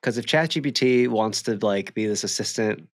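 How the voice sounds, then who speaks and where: Man in animated, close-miked casual banter. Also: lowish voice, moderate pace, no room sound. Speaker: podcast co-host.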